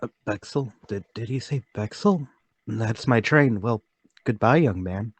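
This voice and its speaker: Man playing a slow, husky, troubled older gentleman. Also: on the low side, animated, dry recording. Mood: preoccupied. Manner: halting, polite, deliberate. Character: troubled, forgetful, soft-spoken older gentleman, haunted and deliberate. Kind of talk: radio mystery drama table read.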